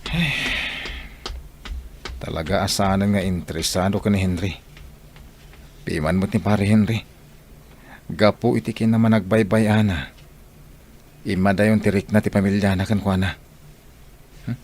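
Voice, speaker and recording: A man's voice: low-pitched, steady tone, a little slow, long pauses, darkish tone, a little hiss or hum. A man playing a concerned, teasing village friend in a Filipino radio drama. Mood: sympathetic.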